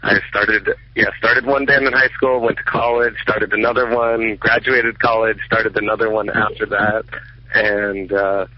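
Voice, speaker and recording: A man's voice: fairly low pitch, level tone, a little slow, dry recording. A man in his twenties, a band singer, easygoing and brief in a radio interview. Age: 20s. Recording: dry, muffled lo-fi radio recording.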